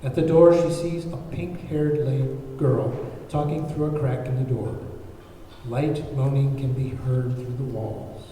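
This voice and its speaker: Mellow man narrating in an echoey room. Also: mid-range voice, steady tone, a little slow. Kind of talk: reading stage directions at a table read. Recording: very echoey room, faint background noise.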